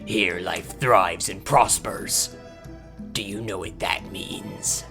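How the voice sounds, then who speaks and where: A performer voicing a cunning, cerebral robotic villain in a fan-dubbed cartoon, condescending and deliberate. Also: deep voice, creaky, music in the background, heavily acted.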